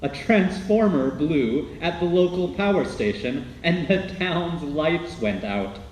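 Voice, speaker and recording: Man, dramatic scripted narration, slightly high voice, animated, echoey, faint noise. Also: slow pace. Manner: dramatic, announcer-like. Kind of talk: scripted documentary narration for radio.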